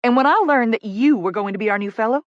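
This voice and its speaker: Woman doing a bubbly tiny southern pr lady, high voice, super gushy and breathless, audiobook character. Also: no room sound, muffled recording, natural voice. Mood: gushing, excited. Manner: effusive, emphatic, rapid gushing chatter.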